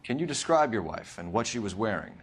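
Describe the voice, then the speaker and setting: An actor playing a formal, fair-minded homicide detective in a video game, clipped and courteous. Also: male voice, lowish voice, very animated, a little fast, breathy edge. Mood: grave, polite. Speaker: police detective. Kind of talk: video game cutscene acting.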